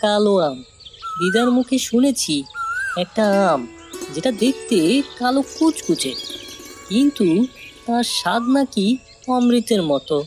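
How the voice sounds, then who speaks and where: A woman's voice: fairly high pitch, very animated, a little slow, long pauses, over music. A woman playing a bold, curious village child in a cartoon, eager and scoffing at warnings. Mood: intriguing, eager. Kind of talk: animated moral-tale cartoon acting.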